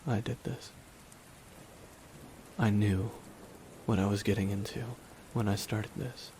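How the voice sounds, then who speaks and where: A man playing a grieving, resigned supervillain in a dramatic ASMR roleplay, speaking a slow, deliberate, solemn monologue with long pauses. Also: lowish voice, steady tone, dark tone, slight background noise. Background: rain falling.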